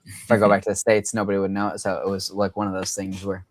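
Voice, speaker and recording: A man's voice: sits low, monotone, fast, no room sound. A young man hosting a livestream, chatting casually and rambling with lots of fillers. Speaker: livestream host.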